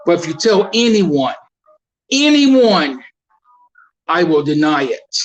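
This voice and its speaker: A man performing a monologue as an exasperated, fatherly high school teacher, scolding and sardonic, over a video call. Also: sing-song, slow, lo-fi sound. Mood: concerned, confiding.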